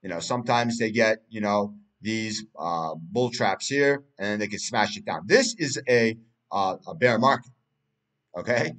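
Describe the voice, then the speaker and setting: A man's voice: lowish voice, lively intonation, a little slow, a bit bright. A man, a Turkish speaker, talking through a price chart in casual analysis, rambling with many fillers and restarts. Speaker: crypto market analyst.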